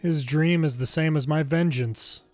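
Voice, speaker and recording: A man's voice: a little high, a little slow, a little mellow, no room sound. A man narrating a video game story, reading scripted dialogue and text aloud. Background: faint game music.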